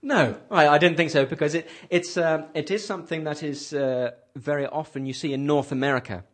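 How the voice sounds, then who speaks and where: A man's voice: on the high side, steady tone, a little fast. A man hosting a radio English lesson, patiently asking a class questions and repeating their answers back to them. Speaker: host leading an English class.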